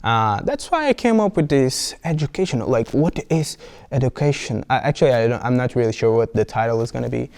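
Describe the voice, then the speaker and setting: A man's voice: medium pitch, lively intonation, brisk, darkish tone. A young man with a Brazilian accent, rambling playfully with many restarts as he talks to camera. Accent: Brazilian Portuguese. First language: Portuguese. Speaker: vlogger. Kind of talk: casual talk to camera.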